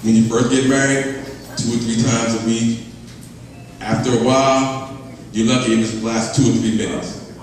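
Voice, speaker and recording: A man finishing a stand-up set with halting, stumbling delivery on a comedy club stage. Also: mid-range voice, fairly even intonation, slight background noise. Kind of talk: stand-up closing jokes. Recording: echoey club room, distant mic.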